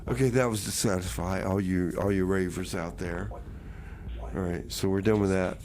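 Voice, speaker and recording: A man's voice: low-pitched, fairly even intonation, normal speed, darkish tone, lightly acted. A man playing a dopey, eager radio host in improvised comedy, rambling and repeating himself, with noise behind him. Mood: laid-back, weary. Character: dopey laid-back radio host eager as a dog to fetch. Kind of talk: improvised comedy radio theater between songs. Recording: clear background noise.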